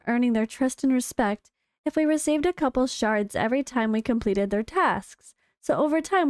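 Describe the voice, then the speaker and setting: An American woman with a slightly hoarse voice, a gaming content creator chatting excitedly through developer notes. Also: on the high side, no room sound, clean studio sound. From United States. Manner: chatty and excitable, with frequent fillers. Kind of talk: casual commentary reading developer notes.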